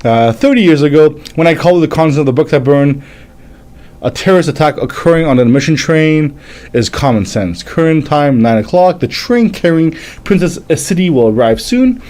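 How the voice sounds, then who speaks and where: A man in his thirties whose first language is French, hosting a casual live manga reading and chat, halting and stumbling as he reads aloud. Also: fairly high pitch, expressive, darkish tone. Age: 30s. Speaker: livestream host, manga reviewer.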